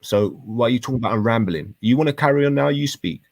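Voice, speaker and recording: A British man in his thirties in a heated debate, speaking in halting, insistent, repetitive bursts with sweary slang. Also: medium pitch, dry recording, clean studio sound. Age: 30s.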